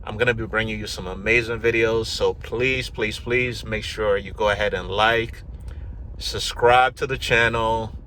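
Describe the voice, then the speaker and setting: A deep-voiced man from Ghana, middle-aged, telling his own story to a camera. His tone is bright and forceful, with easy fillers and restarts as he rambles along. There is a faint hiss of noise behind him. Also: steady tone, measured pace. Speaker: YouTube vlogger. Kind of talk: casual personal storytelling to camera.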